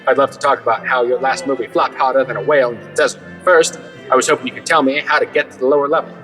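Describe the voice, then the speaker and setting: An actor playing a brash, wisecracking celebrity-news reporter in a glib, cocky manner for a comedic audio drama. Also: male voice, mid-range voice, fairly even intonation, fast, pushed and bright, in a large hall, natural voice. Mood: cocky, sparring. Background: music.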